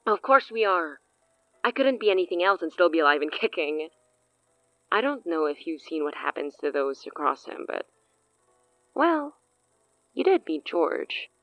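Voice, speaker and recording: Woman doing a smug evil sorceress for audio drama, deep voice, toying and condescending, bit muffled audio. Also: big pitch swings, normal speed, pauses often, dry recording. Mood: wry, ominous. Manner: theatrical, taunting, chuckling condescension. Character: smug, menacing villainous sorceress, amused and theatrical.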